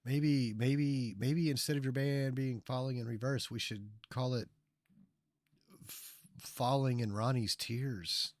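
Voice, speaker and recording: A man's voice: mid-range voice, steady tone, slow, no room sound. A male streamer reacting to clips with scornful, heavily profane commentary, mocking the people he watches.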